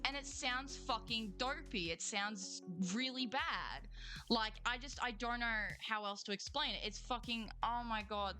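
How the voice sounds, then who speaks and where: A young Australian woman chats and rants to her stream audience, swearing freely and swinging between gripes and cheer. Her voice is bright and forward, with a low bed of music behind her. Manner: swears freely, slangy, quick emotional swings. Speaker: streamer and voice actor. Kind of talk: casual livestream rant and chat reading.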